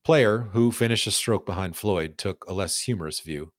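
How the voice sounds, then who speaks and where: Male narrator, somewhat deep, measured long-form storytelling for a narrative podcast, recorded very dry. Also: no room sound. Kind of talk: scripted narrative documentary narration with read-out quotes.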